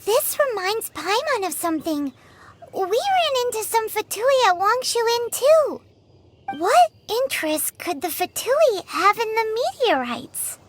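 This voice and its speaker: A woman voicing a tiny, chirpy, brash fairy companion with a squeaky childlike voice in an anime-style video game. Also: sing-song, unhurried, a little hiss or hum, heavily acted. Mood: curious. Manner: refers to self in third person, exclaiming.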